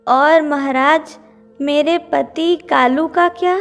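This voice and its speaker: A woman's voice: sits high, fairly even intonation, slow pace, creaky, faint music behind, full character voice. A woman voicing a distressed mother bird in a children's cartoon story, pleading in a plaintive way. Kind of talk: Hindi cartoon story dubbing.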